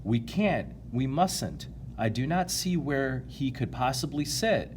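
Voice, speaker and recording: A man's voice: medium pitch, animated, slow, slight background noise. A man voicing a worried, pleading young boy in a storybook. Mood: despairing. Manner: protesting, pleading. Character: worried young boy, protesting the crowded bus.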